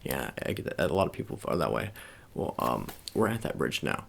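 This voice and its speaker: A man doing soft-spoken ASMR roleplay as a shady sports agent, talking in a halting, casual, coaxing way and repeating his phrases. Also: extremely low pitch, big pitch swings, gravelly.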